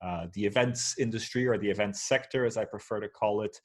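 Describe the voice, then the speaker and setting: A man's voice: fairly low pitch, steady tone, a little fast. A man from Portugal speaking as a guest on a video call from a shared office, talking casually with many fillers and restarts.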